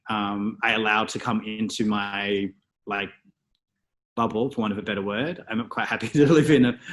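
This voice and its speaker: Give me a thoughtful Australian man with a fairly low voice, chatting at an easy pace. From Australia (Melbourne). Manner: reflective, thinks aloud with many "um" and "you know". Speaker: guest, stylist and photographer. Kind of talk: casual interview chat.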